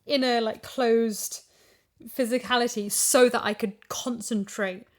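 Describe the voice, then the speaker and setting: A woman's voice: fairly high pitch, steady tone, unhurried. A woman chatting casually and animatedly as a voice actor, wordy and thinking aloud. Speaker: voice actor and podcast guest. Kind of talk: casual chat.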